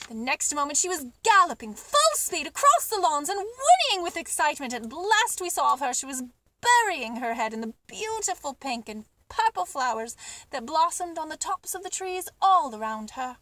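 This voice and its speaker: A woman narrates a children's story in an expressive style. The voice is very high and bright, moving freely up and down, and the sound is dry and close. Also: moderate pace, dry recording. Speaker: storyteller reading a children's book aloud.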